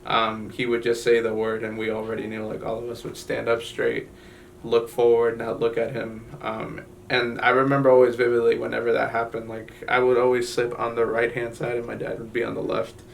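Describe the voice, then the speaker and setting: Young man from Guatemala, gravelly flat voice. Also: medium pitch, distant mic, slight background noise. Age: young adult. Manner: halting, many fillers and restarts. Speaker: interviewee. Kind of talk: personal story in an interview.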